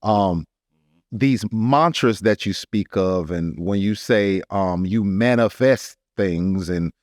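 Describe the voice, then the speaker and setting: A man's voice: lowish voice, slow pace, darkish tone, dry recording. American man talking casually, dry close sound. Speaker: podcast co-host. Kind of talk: casual conversational commentary.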